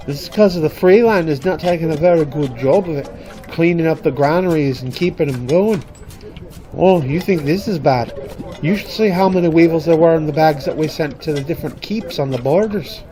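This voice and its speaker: A man playing a gruff, hearty, brandy-loving laborer in a fantasy radio drama, talking in a chatty, colloquial way. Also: on the high side, a little mellow, natural voice. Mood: chatty grumbling. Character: gruff working man hauling grain sacks, hearty, fond of brandy. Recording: music under the voice.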